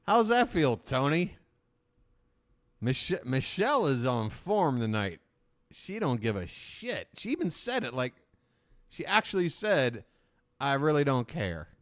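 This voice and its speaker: A man's voice: very animated, a little slow, pauses often, a bit bright. A man hosting a live reaction stream, riffing sarcastically over a shopping broadcast and laughing often. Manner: sarcastic, jokey, laughs often, voice jumps high when mock-ranting.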